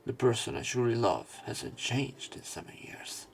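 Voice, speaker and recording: A man reading a visual novel aloud as a let's player, in an expressive, dramatic narration style. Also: medium pitch, measured pace, creaky, soft background music. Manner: expressive, with emotional swells.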